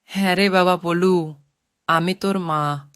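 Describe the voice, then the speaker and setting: Woman as tender cartoon ghost mother: slow, lowish voice, soothing and sorrowful. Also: fairly even intonation, smooth, no room sound, clean studio sound. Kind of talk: Bengali cartoon voice acting.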